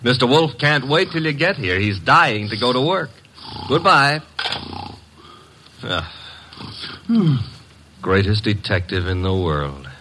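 A man's voice: medium pitch, very animated, slow, long pauses, bright, forceful tone, a little hiss or hum, exaggerated voice. An actor playing a wisecracking young male detective's assistant in 1940s radio drama style, with breezy joking patter. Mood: wry.

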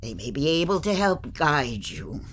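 A woman playing a calm, cryptic guide attuned to the land in an audio drama, speaking in a measured, formal way. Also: low voice. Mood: solemn, helpful.

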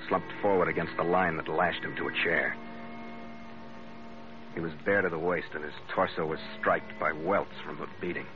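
Man playing a low-voiced, hard-boiled sea skipper. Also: natural voice. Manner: hard-boiled, terse, cool. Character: tough, wry adventurer ship's skipper, hero narrator. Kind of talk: old-time radio adventure narration and acting. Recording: muffled vintage radio recording with music.

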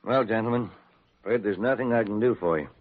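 An actor playing a skeptical, folksy small-town lawman, unhurried and dismissive, in an old-time radio drama. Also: fairly low pitch, lightly acted. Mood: placating. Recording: muffled old radio recording.

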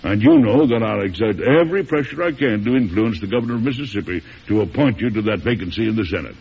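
Gravelly, folksy old president. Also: male voice, fairly low pitch, lively intonation, fast talker. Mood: grave, indignant. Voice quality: gravelly, husky. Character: folksy, shrewd, strong-willed elderly male US president. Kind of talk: old-time radio drama acting. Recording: dull, muffled vintage radio recording.

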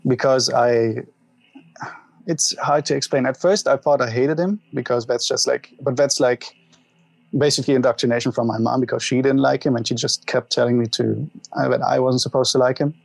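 A young man with a German accent speaks as an interview guest, open about himself and often pausing to find his words. His voice carries a gravelly fry. The sound is dry and close, with no room around it. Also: medium pitch, fairly even intonation, no room sound, poor audio. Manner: open, halting, laughs at himself. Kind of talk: candid personal interview.

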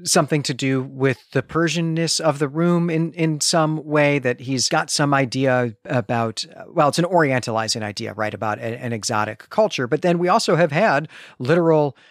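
A man's voice: on the high side, moderate pace, few pauses, no room sound. Man in dry-sounding literary podcast discussion. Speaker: podcast host.

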